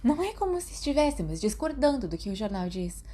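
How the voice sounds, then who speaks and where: A woman's voice: fairly high pitch, sing-song, fast talker, mellow, voice pushed up. A woman with a Brazilian Portuguese accent voicing a blunt, stubborn young witch student who talks in an insistent whisper.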